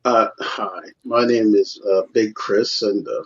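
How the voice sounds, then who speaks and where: A man's voice: on the low side, fairly even intonation, measured pace. A man in his fifties on a roundtable panel, joking as he gives his age. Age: 50s. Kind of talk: casual chat. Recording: dull lo-fi line with faint noise.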